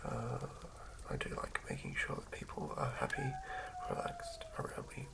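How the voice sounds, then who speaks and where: Whispering ASMR man, heavily creaky. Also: medium pitch, huge pitch swings, normal speed. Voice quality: breathy whisper. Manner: soothing, hushed, stumbles over words while reading. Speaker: ASMR host. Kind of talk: whispered ASMR reading of fantasy game lore. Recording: lo-fi recording with clear background noise.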